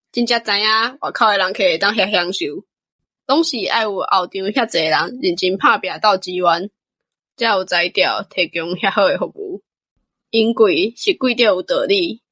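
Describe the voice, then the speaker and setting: A woman's voice: medium pitch, normal speed, fairly bright tone, dry recording, lightly acted. A woman voicing a polite, earnest young country lad with a Taiwanese accent in a radio drama.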